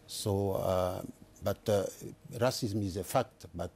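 Older male, Congolese accent, low mellow voice, deliberate and halting delivery, studio interview guest. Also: vocal fry, breathy edge. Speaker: election candidate, interview guest. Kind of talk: live TV panel interview. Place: TV news studio, indoors. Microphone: lapel mic.

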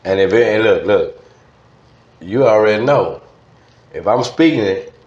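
A man's voice: lowish voice, lively intonation, unhurried, a little mellow. A middle-aged man with a Southern US accent reminiscing casually as an interview guest, slangy and repeating short phrases. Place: indoor studio desk. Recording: echoey, dull lo-fi studio sound.

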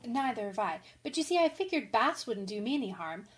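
A frank, impulsive young woman writer in a radio play, acted by a woman. Her voice is fairly high, and she rambles on in a chatty, candid rush. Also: very animated, a little fast, a little hiss or hum. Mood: chatty, flustered. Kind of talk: radio drama acting.

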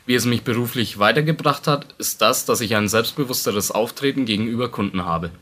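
A man's voice: mid-range voice, brisk, fairly bright tone. A man of twenty-one from a music store's studio department, giving a plain, matter-of-fact testimonial. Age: 20s. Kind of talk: testimonial to camera.

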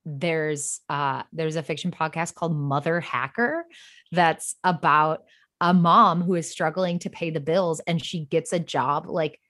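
A woman's voice: sits low, steady tone, measured pace, a bit bright. A woman guest on a podcast, chatting casually with emphatic, effusive phrasing and lots of "like". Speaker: podcast guest, writer and fiction podcast creator. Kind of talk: casual chat.

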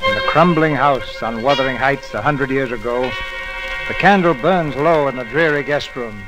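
Old radio drama host, man, mid pitch, dramatic, loud music behind. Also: normal speed, poor audio. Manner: dramatic, formal. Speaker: host introducing the play. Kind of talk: scripted 1940s radio narration.

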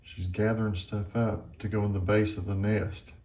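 A man's voice: lowish voice, little pitch movement, moderate pace, darkish tone. A man with a Southern US accent casually narrating his backyard chores in a folksy, plainspoken way while outdoors in a garden. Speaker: homesteading vlogger. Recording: outdoor background noise.

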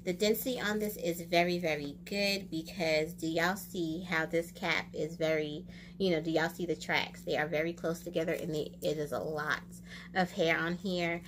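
A young American woman chatting casually and expressively to camera in a product review, with drawn-out exclamations. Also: fairly low pitch, fairly even intonation, slight background noise. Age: young adult. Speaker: beauty vlogger and wig reviewer. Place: small room indoors.

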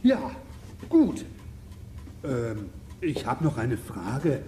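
A man's voice: mid-range voice, huge pitch swings, drawn-out words, warm tone, slight background noise, exaggerated voice. An actor playing a dim-witted, defensive henchman in a children's audio drama. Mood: doubtful. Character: dim-witted, whiny male henchman of a crook.

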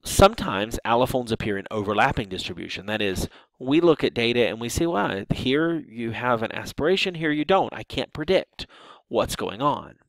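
Gravelly man giving a lecture. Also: medium pitch, no room sound, low-quality recording. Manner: explanatory, with frequent restarts and fillers. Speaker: linguistics instructor. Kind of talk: recorded lecture over slides.

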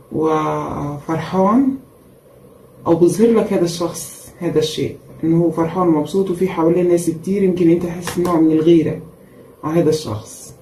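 Woman tarot reader, tired voice, emphatic repeats, dark tone, faint room noise. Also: lowish voice, roomy sound, rough recording. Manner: repetitive and emphatic, with frequent pauses and fillers. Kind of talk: casual tarot reading for viewers. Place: indoor room at a table with cards and a candle.

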